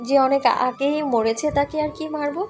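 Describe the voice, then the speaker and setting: A woman playing a young wife in a romantic audio drama. Her voice is high, coy and affectionate, full of playful adoration for her husband. Faint music runs underneath. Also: fairly even intonation, moderate pace, close to an everyday voice. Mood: coy teasing. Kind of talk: audio love story acting.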